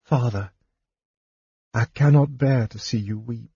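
A man voicing a young elf princess who gently pleads with her dying father. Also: mid-range voice, takes it slowly, slightly rough, no room sound, lo-fi sound, heavily acted. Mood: pleading.

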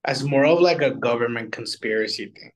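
A man's voice: medium pitch, a little fast, low-quality recording. A man co-hosting a podcast, giving his reactions in loose, casual conversation. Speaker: podcast co-host.

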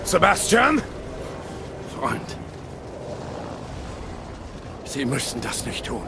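An actor playing a desperate, battle-worn knight in a German audio drama, speaking urgently and intensely. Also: male voice, mid-range voice, sing-song, extremely slow, gravelly, full character voice. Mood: pleading. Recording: noisy battle effects behind voice.

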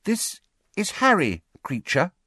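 Man playing a gentle, higher-pitched teenage girl. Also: huge pitch swings, normal speed, husky, dry recording, studio quality, full character voice. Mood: tentative. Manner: gentle, hesitant. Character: earnest, tentative, kindly teenage girl.

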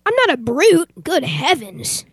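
Young woman as a very high-voiced fussy gentleman. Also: very animated, unhurried, dry recording, heavily acted. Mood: fussy, indignant. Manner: fussy, exclamatory, posh phrasing. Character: fussy, wealthy, oblivious gentleman homeowner. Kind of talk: amateur old-time radio theater acting.

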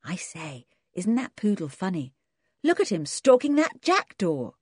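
A woman's voice: medium pitch, big pitch swings, a little slow, darkish tone, slightly rough, dry recording, studio quality. A woman voicing a polite, sensible older schoolboy. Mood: amused. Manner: polite, considerate.

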